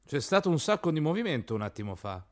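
A man's voice: a little high, sing-song, quick pace. A man acting in an absurdist radio play as an inquisitive, literal-minded tea stall man, questioning and repetitive. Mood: puzzled, inquisitive. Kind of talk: absurdist radio drama dialogue.

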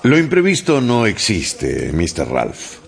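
A man playing an unflappable, precise English gentleman in a radio drama, formal, measured and self-assured. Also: lowish voice, huge pitch swings, vocal fry, slightly rough, full character voice. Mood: confident, resolute.

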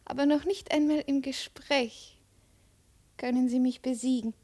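An actress playing a proud, cold, mocking Chinese princess in a 1950s German radio play, imperious and deliberate. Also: female voice, sits high, steady tone, a little mellow. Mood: weary, condescending. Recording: 1950s radio drama recording.

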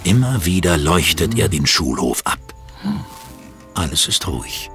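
A man's voice: low voice, very animated, unhurried, a bit bright, soft background music. A man reading scripted storytelling narration for an audio drama.

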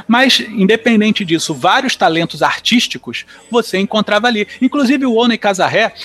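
High-voiced Brazilian man chatting on a podcast. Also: animated, moderate pace, fairly bright tone, faint music behind. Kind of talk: casual podcast discussion.

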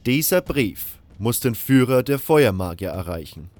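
A proud, aging fire mage speaks with stern command in a fantasy radio play, acted out by a man. His imperious lines are pushed louder over background music. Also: mid-range voice, sing-song, unhurried, pitched above the performer's own voice. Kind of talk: fantasy audio drama acting.

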